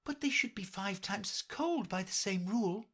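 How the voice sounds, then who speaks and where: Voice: mid-range voice, expressive, moderate pace, fairly bright tone, husky, pitched above the performer's own voice. A reader voicing an earnest, polite young girl in a storybook audiobook, speaking in a careful, grave manner. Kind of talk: audiobook character voice.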